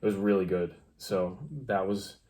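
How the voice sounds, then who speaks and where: A young man co-hosts a casual storytelling vlog. His voice is deep, with very little rise and fall. He talks in a laid-back, plain-spoken way. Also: level tone, normal speed, warm tone. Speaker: vlog co-host.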